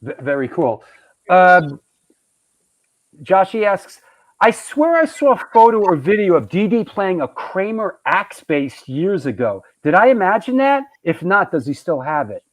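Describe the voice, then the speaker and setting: A man's voice: sits high, sing-song, a little slow, poor audio. A male host of a live online show, chatting loosely and joking, reading out viewer questions. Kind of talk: live show casual chat.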